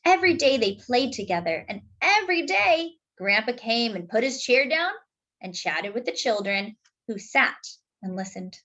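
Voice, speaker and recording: A woman reading a picture book aloud to children, expressive and deliberate, dropping in chatty asides. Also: on the high side, dull audio. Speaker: children's story reader.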